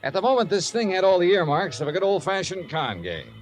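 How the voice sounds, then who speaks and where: An actor playing a skeptical American insurance investigator in a 1950s radio drama, with dry, wry delivery. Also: male voice, sits high, very animated, brisk. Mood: wry narration. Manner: dry, wry, hesitant when doubtful. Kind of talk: 1950s radio drama, hard-boiled narration. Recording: old radio broadcast, faint music.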